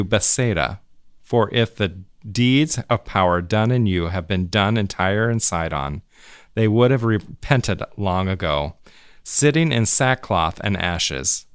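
Smooth, low-pitched man reading scripture. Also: no echo, clean studio sound. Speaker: church music director, scripture reader. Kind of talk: scripture reading, brief devotional and prayer.

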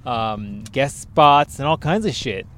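A man's voice: medium pitch, lively intonation, slow, a bit bright, slight background noise. A man with a nasal voice chatting casually and animatedly, swearing freely. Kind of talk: casual chat. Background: sitting in a parked car.

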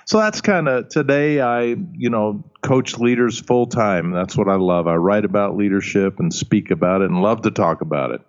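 Older male guest, German first language, low-pitched, laid-back with fillers and chuckles. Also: normal speed, few pauses. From Alabama, United States. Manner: relaxed, chuckling, with many uh fillers. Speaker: guest, leadership coach. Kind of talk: conversational interview answers. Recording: muffled lo-fi audio.

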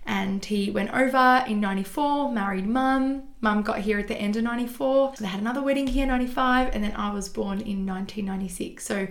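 Woman, twenties, chatty, gravelly voice, echoey room. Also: mid-range voice, brisk, few pauses. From Australia. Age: 20s. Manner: casual, chatty, many "like" fillers. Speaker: guest. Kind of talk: interview storytelling.

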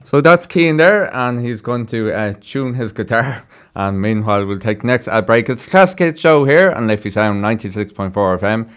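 A man's voice: medium pitch, animated, normal speed, hardly any pauses, clean tone. An Irish man hosting a casual live show on local radio. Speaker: radio host.

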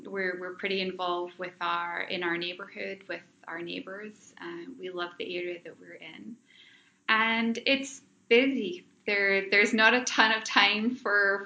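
Scottish woman chatting in an interview, lowish pitch, kinda dull roomy recording. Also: sharp tone. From Scotland. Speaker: interview guest, lawyer. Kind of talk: conversational interview answers.

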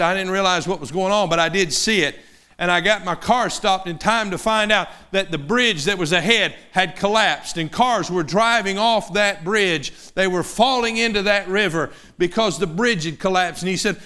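Preacher in his fifties, fervent. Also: high-pitched. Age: 50s. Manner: swings from loud fervent preaching to quiet personal asides. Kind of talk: sermon.

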